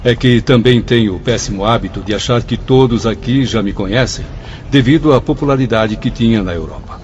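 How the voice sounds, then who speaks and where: A proud, curious professor spirit, played by a man, asks his hosts pointed questions in a radio drama. His voice sits in a middle pitch and sounds slightly dull, like an older broadcast recording. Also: a little fast, darkish tone, a little hiss or hum, lightly acted. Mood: questioning.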